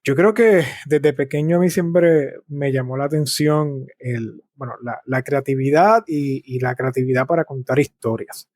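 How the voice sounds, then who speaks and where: A man's voice: mid-range voice, unhurried, few pauses, darkish tone, dry recording, studio quality. A man from Puerto Rico with a Puerto Rican accent, talking reflectively and conversationally as an interview guest. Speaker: interview guest, speaker and artist.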